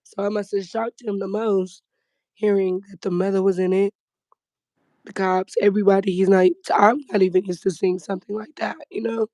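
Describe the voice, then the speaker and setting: Woman guest, hoarse and fading voice, mellow and dry. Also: mid-range voice, little pitch movement, moderate pace, no echo, rough recording. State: voice going hoarse. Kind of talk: casual livestream chat.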